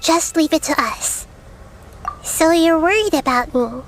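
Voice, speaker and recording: A woman voicing a wise, gentle, childlike little goddess in a video game, speaking in a measured, explanatory way. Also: extremely high pitch, animated, slight background noise, exaggerated voice. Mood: reassuring. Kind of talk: video game character acting.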